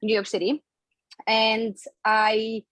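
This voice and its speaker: A woman's voice: fairly high pitch, completely flat delivery, takes it slowly, fairly bright tone, dry recording. A woman in her thirties from Poland with a Polish accent, a fitness coach telling her story as a podcast guest in a chatty, candid way. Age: 30s. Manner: chatty, candid, laughs between phrases.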